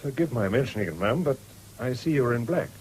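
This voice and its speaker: An actor playing a polite, gentlemanly English country doctor in a radio drama. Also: male voice, medium pitch, animated, brisk, close to an everyday voice. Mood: courteous. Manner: polite, smooth-mannered, gentlemanly. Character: courteous, genial country doctor with a shooting interest. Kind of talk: 1970s British radio drama acting. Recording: noisy old radio broadcast recording.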